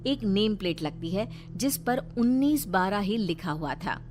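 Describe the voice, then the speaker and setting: A woman's voice: medium pitch, expressive, a little slow, darkish tone, a little hiss or hum. A woman reading scripted horror story narration as the narrator. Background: background music.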